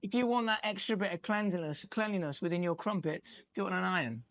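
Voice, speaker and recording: A man's voice: high voice, quick pace, fairly bright tone, no room sound. A young man with an English accent chats as the guest in an interview. He talks in a casual, rambling way, swears now and then and acts out the dialogue in his stories. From England. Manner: chatty storyteller, swears, acts out quoted dialogue. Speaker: musician guest.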